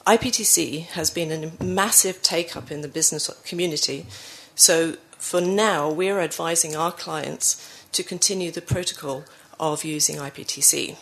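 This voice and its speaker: Woman with a croaky cold, low gravelly voice. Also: normal speed, few pauses, poor audio. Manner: frequent fillers and self-corrections. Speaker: conference speaker. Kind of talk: conference presentation.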